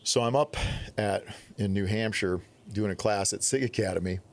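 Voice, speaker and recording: A man from the Midwest, telling stories on a podcast in a casual, animated way, acting out quotes. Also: lowish voice, normal speed. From Upper Midwest. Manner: animated storyteller, acts out quotes. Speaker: podcast guest. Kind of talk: casual storytelling.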